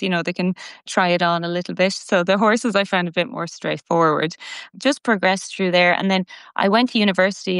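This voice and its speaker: A woman's voice: mid-range voice, smooth, no echo. A young Irish woman with a Midlands accent, chatting as a podcast guest with easy fillers and restarts. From Ireland. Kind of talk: casual interview chat.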